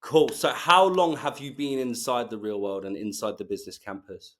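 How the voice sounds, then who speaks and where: A man's voice: mid-range voice, fairly even intonation, moderate pace, a bit bright, a little hiss or hum. A man hosting an interview in a casual, chatty, matey way. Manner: informal, matey. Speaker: interviewer, host.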